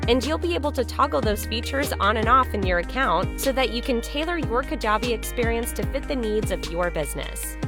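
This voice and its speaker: A woman reading scripted corporate narration for a promotional video in a polished, measured, upbeat way. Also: fairly high pitch. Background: background music.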